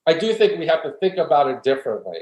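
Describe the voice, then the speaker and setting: A man's voice: a little high, sing-song, fast, darkish tone, lo-fi sound. A man speaking as an academic interview guest, explaining and arguing his points earnestly in a conversational way. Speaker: guest philosophy professor. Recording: roomy, echoey room sound.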